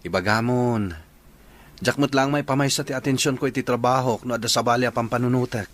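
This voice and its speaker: A man's voice: mid-range voice, steady tone, fairly quick, natural voice. A man playing a busy, concerned younger brother in a radio drama. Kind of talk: Ilocano radio drama acting.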